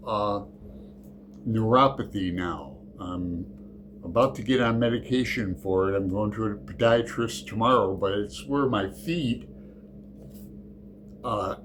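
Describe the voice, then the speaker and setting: A man's voice: medium pitch, slight background noise. A middle-aged man hosting a casual book vlog, talking in a rambling, digressive way with fillers and self-corrections. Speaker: book channel host.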